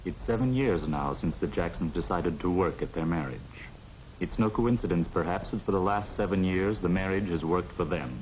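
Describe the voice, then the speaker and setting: A man's voice: deep voice, moderate pace, a little mellow. A man delivering scripted narration in the style of a 1950 educational film. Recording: faintly noisy 1950 film soundtrack.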